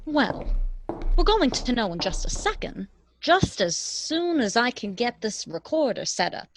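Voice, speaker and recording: A woman's voice: fairly high pitch, very animated, measured pace, background noise. An actress playing a plain-spoken, practical radio woman with a folksy, take-charge manner in a radio drama. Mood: reassuring.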